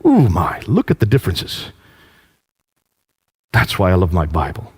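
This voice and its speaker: A man preaching with a lively, swooping pitch and emphatic rhetorical questions. His voice is a little husky, and it rings out with a long hall reverb. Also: a little slow. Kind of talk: preaching lecture.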